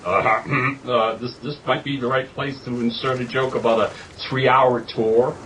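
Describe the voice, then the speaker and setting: Man playing a bright, stammering, sardonic captive. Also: mid-range voice, fairly even intonation, natural voice, low-quality recording. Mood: flustered, sardonic. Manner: halting, stammering, full of uhs. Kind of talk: parody radio drama skit. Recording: faint background noise.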